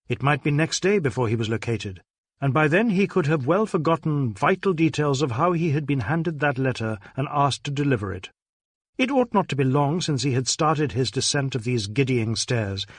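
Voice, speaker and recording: A man's voice: medium pitch, husky, no echo. A man reading a detective novel aloud as a dramatic audiobook narrator.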